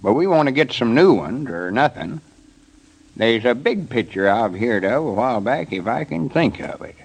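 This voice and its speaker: Folksy, swooping backwoods storekeeper. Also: male voice, mid-range voice, sing-song, moderate pace, vocal fry, husky, exaggerated voice, low-quality recording. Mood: puzzled, pondering. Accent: rural Southern US. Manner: drawling, homespun, hesitant when thinking. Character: folksy male backwoods storekeeper, eager and scheming. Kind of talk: 1930s radio comedy serial acting. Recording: old 1930s radio broadcast.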